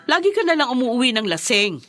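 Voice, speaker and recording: A hurt young wife in a radio drama. Her high voice swings widely as she complains and reproaches. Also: sing-song, brisk, a bit bright. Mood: reproachful.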